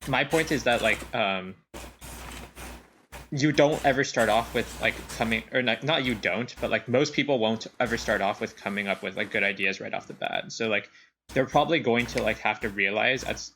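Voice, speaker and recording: Young man with a gravelly, bright voice. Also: fairly low pitch, normal speed, slight background noise. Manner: hesitant, many restarts and "like" fillers. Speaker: podcast co-host. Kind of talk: casual chat.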